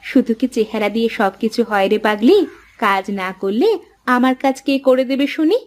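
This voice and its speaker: A woman voicing fussing, affectionate village sisters in a cartoon, in an expressive, homely way. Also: on the high side, normal speed, lightly acted. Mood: concerned, chatty. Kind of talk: cartoon voice acting. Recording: faint music behind the voice.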